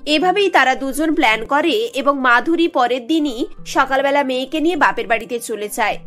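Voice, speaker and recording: A woman narrator with a high voice tells a cartoon story. The reading is scripted storytelling, with faint music behind it. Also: fairly bright tone.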